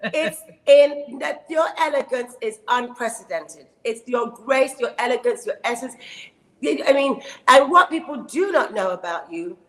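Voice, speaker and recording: A woman in her fifties hosting an interview, effusive and animated. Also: on the high side, measured pace, low-quality recording. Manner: effusive and animated, full of praise. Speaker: host. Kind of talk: casual interview chat.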